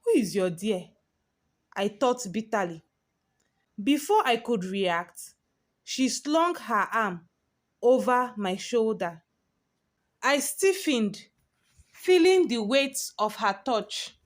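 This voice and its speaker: High-voiced woman telling a story, unhurried. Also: big pitch swings. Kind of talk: dramatic scripted first-person story narration.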